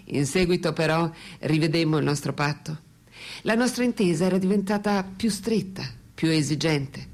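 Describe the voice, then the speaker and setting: A woman's voice: low-pitched, normal speed, darkish tone. An actress in a radio play voicing a thoughtful, candid French woman intellectual with an articulate, reflective way of speaking. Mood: reminiscing. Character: female French intellectual, philosopher's lifelong companion, thoughtful, candid. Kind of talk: radio play dialogue and monologue.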